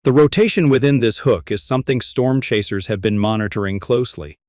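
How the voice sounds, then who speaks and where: A man's voice: mid-range voice, fairly quick, darkish tone, no echo, close to an everyday voice. A man playing a grave local TV weather reporter, delivering a scripted severe weather report in a serious, formal, cautionary way. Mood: alarmed. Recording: dry, dull lo-fi microphone.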